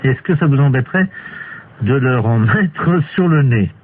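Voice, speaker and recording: A man playing a hesitant, deadpan male court official in an improvised telephone prank. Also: mid-range voice, unhurried, lightly acted. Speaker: prank caller. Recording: tinny phone line with clear hiss.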